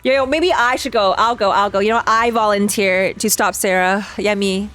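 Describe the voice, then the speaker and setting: A woman's voice: medium pitch, steady tone, normal speed, a bit bright. A woman giving casual gaming reaction commentary, playful and sarcastic, often repeating phrases for effect. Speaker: reaction streamer. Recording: game music under the voice. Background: game music.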